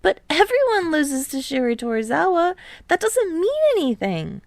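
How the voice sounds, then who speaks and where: Woman as teen boy, raised pitch, teasing, anxious, expressive, audiobook character, dry sound. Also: normal speed, vocal fry, no room sound, full character voice. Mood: fretting. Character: teenage boy, friendly, teasing, anxious volleyball player.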